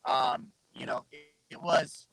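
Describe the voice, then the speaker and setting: A man's voice: high-pitched, sing-song, slow pace, vocal fry, fairly bright tone, rough recording. Chill guy from southern arizona just rambling on a call, lots of um and you know, poppy mic. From Southern Arizona, USA. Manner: laid-back, rambling, full of fillers. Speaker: guest, MMA fighter. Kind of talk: casual interview chat. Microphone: remote call mic with popping.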